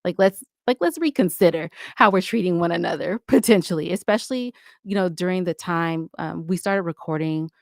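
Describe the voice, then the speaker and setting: A woman's voice: fairly low pitch, brisk, vocal fry, dry recording, clean studio sound. A middle-aged woman talking casually as a podcast guest, chatty and candid, with playful asides. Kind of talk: casual interview talk.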